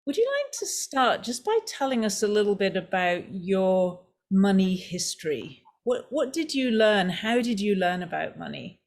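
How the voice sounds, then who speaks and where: Breathy woman hosting a podcast. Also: medium pitch, sing-song, moderate pace, hardly any pauses. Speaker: podcast host. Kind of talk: interview hosting.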